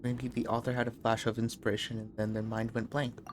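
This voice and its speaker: Casual mid-pitched male game streamer. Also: monotone, a little fast, background noise. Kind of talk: casual playthrough commentary. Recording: game music behind the voice.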